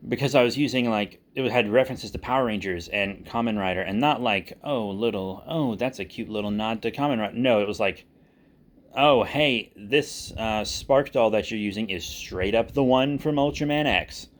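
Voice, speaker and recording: A man speaking casually and unscripted as a channel host, candid and self-deprecating, with halting delivery. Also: fairly low pitch. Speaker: audio drama creator and channel host. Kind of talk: casual unscripted vlog talk.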